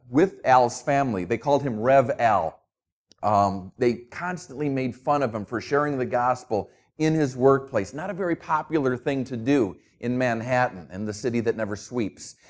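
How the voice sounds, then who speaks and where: A middle-aged man from Minnesota with a New Jersey accent, preaching a sermon as a guest pastor in an easygoing, conversational way with self-deprecating humor. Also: mid-range voice.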